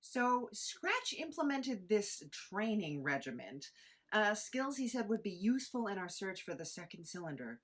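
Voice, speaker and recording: Flustered female podcaster, reluctant sidekick, read by an actress. Animated, hesitant, anxious delivery for audio drama. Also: mid-range voice, a little slow. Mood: weary narration. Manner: hesitant, stammering, protesting.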